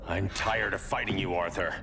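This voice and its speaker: An actor playing a grim, stern masked vigilante in a video game, with terse, clipped, commanding lines. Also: male voice, low-pitched, fairly even intonation, normal speed, noisy background, natural voice. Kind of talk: dramatic video game acting.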